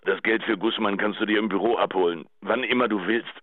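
Through a thin telephone filter comes a lowish male voice, a remorseful husband in a radio drama. He is halting and agitated, pleading and blaming himself as he clings on. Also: dry recording. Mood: pleading, tender. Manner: halting, stammering. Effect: telephone answering machine filter. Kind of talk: German radio drama, answering machine message. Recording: tinny narrow phone-line sound.

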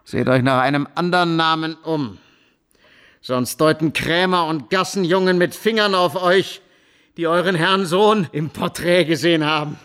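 An actor playing a scheming younger son in a German radio drama, theatrical, insinuating and falsely devout. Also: male voice, a little high, moderate pace, a bit bright, smooth, close to an everyday voice. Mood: open mockery. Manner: theatrical, insinuating, savoring sarcasm.

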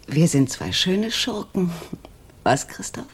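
A woman's voice: lowish voice, slightly rough, heavily acted. An actress playing a passionate, determined female accomplice and lover in her thirties in a German radio crime drama, intimate and intense. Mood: coolly conspiratorial.

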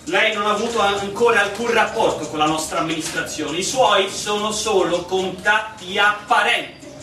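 An echoey room recording of a pompous village mayor in a radio drama. His high voice declaims stubbornly and dismissively, some distance from the mic. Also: moderate pace, bright, forceful tone, soft background music. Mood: lofty. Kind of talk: stage-style radio drama acting.

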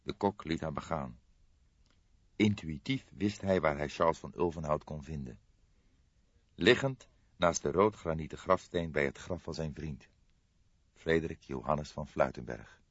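A man's voice: extremely low pitch, unhurried, long pauses, husky. A man narrating a crime novel as an audiobook, reading scripted prose in a dramatic storytelling style. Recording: dull lo-fi audiobook, roomy echo.

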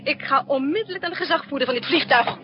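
An actress playing a quick-witted female spy accomplice in a 1960s Dutch radio drama. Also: sits high, close to an everyday voice. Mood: haughty, indignant. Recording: muffled, noisy 1960s radio recording.

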